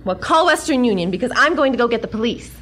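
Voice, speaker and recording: A woman's voice: on the high side, expressive, fast talker, a bit bright, a little hiss or hum. An actress playing a plucky young American woman, earnest and defiant with dry sarcasm, in an audio drama. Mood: defiant, wry.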